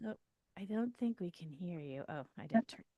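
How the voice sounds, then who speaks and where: A woman hosting a casual radio interview from a studio, chatty and quick to laugh. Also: on the low side, animated, muffled recording. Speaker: radio show host. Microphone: studio mic.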